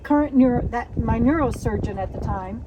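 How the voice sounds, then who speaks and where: Woman interviewee, distant with loud noise. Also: a little high, lo-fi sound. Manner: halting, with frequent laughs. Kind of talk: casual interview.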